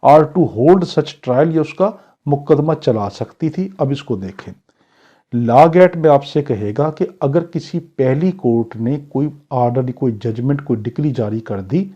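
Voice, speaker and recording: A man's voice: fairly high pitch, warm tone. A man with a Pakistani accent giving an exam lecture as a law teacher, didactic and repetitive, switching between reading out English and explaining it. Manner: didactic, repetitive, poses questions and answers them. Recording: dull lo-fi sound.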